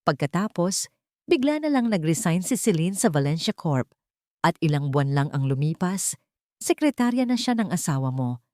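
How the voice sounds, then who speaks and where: A woman voicing a serious male investigator in an audio drama, speaking deliberately and directly. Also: on the low side, big pitch swings, a little mellow, no room sound, clean studio sound. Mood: grave, direct.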